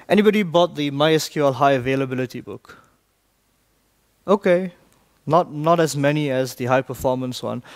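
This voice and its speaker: A man from Malaysia giving a technical talk in a large conference hall, briskly quizzing the audience. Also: mid-range voice. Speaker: conference speaker.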